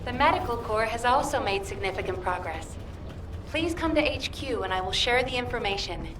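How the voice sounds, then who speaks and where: A woman's voice: fairly high pitch, expressive, a little fast, close to an everyday voice. A woman playing a composed military officer in a video game, giving formal, explanatory briefings. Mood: businesslike. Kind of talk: scripted video game voice acting. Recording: noisy game ambience behind voice.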